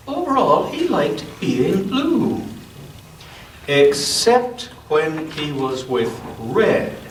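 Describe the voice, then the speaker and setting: Man, storyteller, animated higher-pitched voice, deliberate emphasis, interactive, roomy sound with faint noise. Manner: dramatic, deliberate pauses and emphasis; interactive, chatting with listeners. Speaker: storyteller reading to an all-ages church group. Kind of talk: read-aloud children's story with casual asides. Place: church, indoors. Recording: echoey room, distant mic, faint noise.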